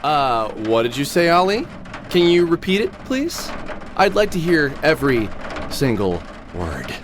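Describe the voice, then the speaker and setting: Wry middle-aged game hero, very animated. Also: male voice, on the high side, a little slow, background noise. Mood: teasing. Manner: dry, sardonic, casual. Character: wry, weary middle-aged man with cybernetic arm. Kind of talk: video game voice acting.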